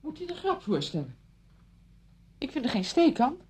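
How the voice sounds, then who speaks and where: An actress playing an impatient, exasperated woman visitor in a radio play, brisk, indignant and scolding. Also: high-pitched, big pitch swings, a little mellow, husky, exaggerated voice. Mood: indignant, alarmed. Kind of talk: Dutch radio play acting.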